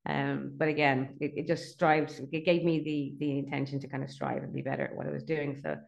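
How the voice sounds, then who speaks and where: Irish woman, middle-aged, deep voice, rapid and chatty interview guest. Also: little pitch movement, gravelly, highs cut off. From Ireland (Dublin). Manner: chatty, fast, laughs between points. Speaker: guest, company CEO. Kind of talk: casual interview chat.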